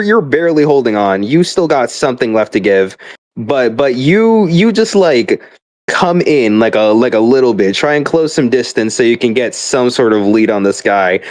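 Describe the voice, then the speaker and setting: Young male, mid-pitched, dry close sound. Casual exasperated ranter: sweary, hyperbolic, stumbles over repeated starts. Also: darkish tone, no echo. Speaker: gamer. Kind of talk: casual rambling rant.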